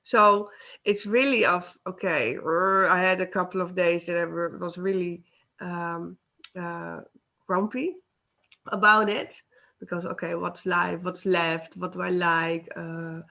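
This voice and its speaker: A woman speaks slowly and haltingly, reaching for English words with many pauses. Her voice sits a little low for a woman. She talks casually over a video call. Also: fairly even intonation, vocal fry, pushed and bright. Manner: hesitant, searching for words, laughs often. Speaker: co-host, healer. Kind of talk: casual chat.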